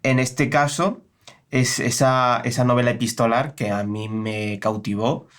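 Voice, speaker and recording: A man's voice: medium pitch, steady tone, a little slow, fairly bright tone. A man with an accent from Spain chatting casually about books as a co-host, hesitating and repeating phrases for emphasis as he searches for words. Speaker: co-host of a bookshop's book channel. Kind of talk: casual book discussion.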